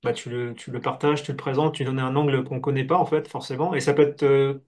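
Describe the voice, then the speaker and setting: A man hosting an interview, friendly and casual, with halting restarts. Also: mid-range voice, fairly even intonation, darkish tone. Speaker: host, interviewer.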